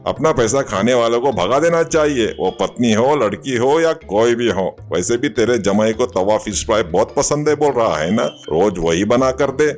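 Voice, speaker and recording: A man's voice: mid-range voice, expressive, normal speed, few pauses, natural voice. A man voicing a miserly middle-aged schemer who gives blunt, chiding advice in a comic moral story. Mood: chiding, scheming.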